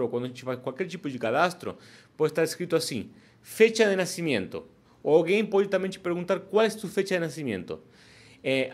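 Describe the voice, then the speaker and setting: A man teaching Spanish to learners in a relaxed online lesson, explaining step by step and often checking in with "ok?". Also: on the high side.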